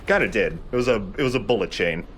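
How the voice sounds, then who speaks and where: Male let's play host, lower register, casual and explanatory, swears freely, faint noise behind. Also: expressive, quick pace, a bit bright. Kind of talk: casual gameplay commentary.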